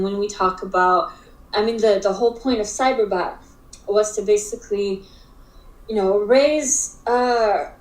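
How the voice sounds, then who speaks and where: Young woman from africa chatting in an interview, lots of likes and restarts, sounds kinda roomy. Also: medium pitch. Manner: halting, filler-heavy speech with frequent restarts. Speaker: interview guest, digital art expert. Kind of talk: casual conversational interview. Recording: roomy echo.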